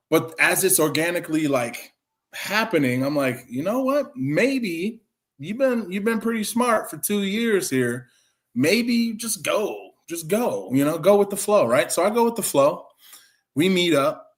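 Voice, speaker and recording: A man in his forties telling a long, rambling story in a loose, chatty way. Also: medium pitch. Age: 40s. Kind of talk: casual chat, rambling storytelling.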